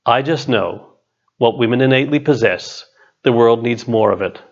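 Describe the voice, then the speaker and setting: A man's voice: mid-range voice, gravelly. Middle-aged man reading, slightly dull recording. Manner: measured, emphatic pauses before contrasting phrases. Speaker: author reading own book chapter. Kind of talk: scripted narration, inspirational business writing.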